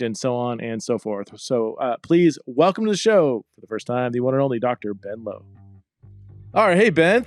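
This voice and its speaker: A man's voice: medium pitch, no echo. Male podcast host, casual and chatty, laughs easily, dry close sound. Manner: upbeat, chatty, laughs often. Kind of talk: casual interview chat.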